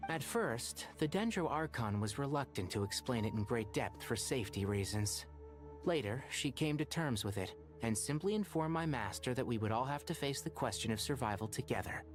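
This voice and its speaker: A man voicing a composed, thoughtful male scholar in a video game, speaking in an earnest, measured, polite way. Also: mid-range voice, lively intonation, soft background music, natural voice. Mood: grave.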